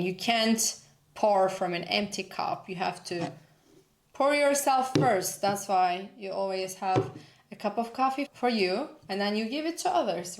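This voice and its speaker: Woman, thirties, Turkish accent, unhurried and emphatic, echoey room. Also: mid-range voice. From Turkey. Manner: earnest, emphatic, repeats for emphasis. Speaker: personal branding coach. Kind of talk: motivational talk to camera.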